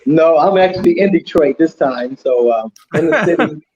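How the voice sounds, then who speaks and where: A man's voice: a little high, lively intonation, moderate pace, lo-fi sound, crowd noise. A man co-hosting a casual live stream from a remote spot, easygoing. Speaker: co-host. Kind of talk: casual livestream chat.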